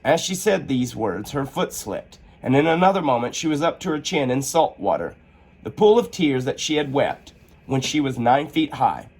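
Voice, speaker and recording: Husky, animated male storyteller. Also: medium pitch, normal speed, poor audio. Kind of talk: expressive children's storybook narration.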